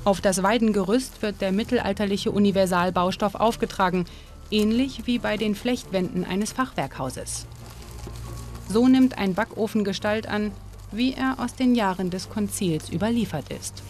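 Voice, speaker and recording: A woman narrating a documentary in a calm, measured, informative way. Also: medium pitch. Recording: voice-over with music behind. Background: music.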